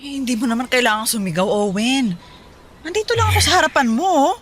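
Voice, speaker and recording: A woman's voice: sits high, expressive, quick pace, slight background noise. A woman voicing a fifty-year-old housewife and mother who scolds and lectures her family in a radio drama. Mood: hurt. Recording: background music. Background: soft music.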